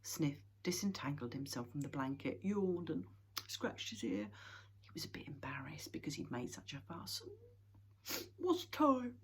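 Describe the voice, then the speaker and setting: Breathy, whispery woman reading a storybook. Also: low voice, animated, normal speed. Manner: hushed, often whispered narration.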